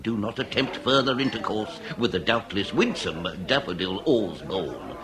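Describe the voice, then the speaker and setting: An actor playing a jovial, shrewd period police inspector with a wordy, old-fashioned way of talking in a comic radio drama. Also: male voice, lowish voice, animated, moderate pace, crowd noise, lightly acted. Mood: shrewd, genial. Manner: old-fashioned wordy speech, hesitant asides, chuckling.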